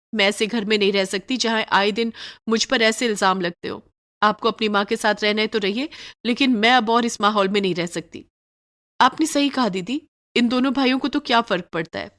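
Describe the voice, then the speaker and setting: A woman's voice: fairly high pitch, a bit bright, natural voice. A woman voicing a haughty, spoiled rich young wife who complains indignantly, in a Hindi moral story cartoon.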